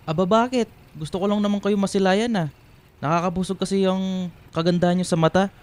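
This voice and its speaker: Man playing old Filipino tricycle driver, high-pitched, cocky, lecherous, pushy, radio drama. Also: steady tone, a little fast, darkish tone, slight background noise, natural voice. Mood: leering. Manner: cocky, pushy flirting that turns nasty. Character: lecherous, boastful old tricycle driver, insulting when spurned.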